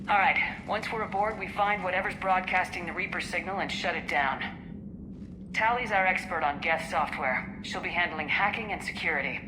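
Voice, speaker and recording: Game role: decisive, reassuring female starship commander, woman actor, low, steady voice. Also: moderate pace, background noise, lightly acted. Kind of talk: video game dialogue.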